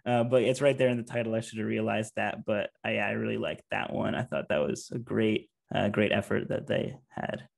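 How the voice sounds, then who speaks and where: A man's voice: fairly low pitch, steady tone, normal speed, gravelly, few pauses. A man co-hosting a podcast, chatting enthusiastically with many casual fillers. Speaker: podcast co-host. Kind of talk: casual chat.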